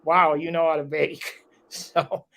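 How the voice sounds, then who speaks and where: A man's voice: fairly high pitch, flat delivery, slow, a bit bright. A man hosting a local talk show, chatting casually with a guest and rambling with lots of fillers.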